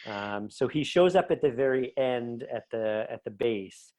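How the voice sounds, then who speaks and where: A man's voice: medium pitch, lively intonation, unhurried, a little mellow. A man speaking casually as an interview guest, explaining things in a rambling, enthusiastic way. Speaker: podcast guest, writer.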